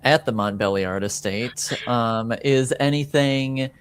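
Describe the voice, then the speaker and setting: A man's voice: medium pitch, takes it slowly, clear, resonant tone. A man running a tabletop roleplaying game as game master, mixing casual table talk with improvised narration.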